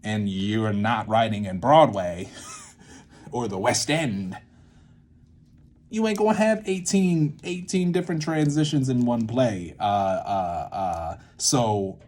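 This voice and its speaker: A young man explaining in a casual, halting way, thinking aloud and often repeating and checking himself. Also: lowish voice, a little mellow. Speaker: creator teaching a creative method.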